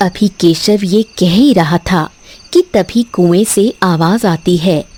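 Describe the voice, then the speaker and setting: A woman's voice: on the low side, slow pace, darkish tone. A woman reading scripted narration for a children's story.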